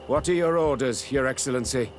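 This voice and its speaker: An actor playing a dutiful military officer in a video game, speaking formally and respectfully to a superior. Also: male voice, mid-range voice, a little fast, faint music behind, close to an everyday voice. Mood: dutiful, ready. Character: dutiful, deferential military officer ready for orders. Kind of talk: scripted video game voice acting.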